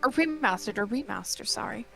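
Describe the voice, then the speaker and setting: A woman's voice: on the high side, animated, fairly quick, creaky, pushed and bright. A woman co-commentating on a live reaction stream in a casual, conversational way. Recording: music playing behind the voice. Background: background music.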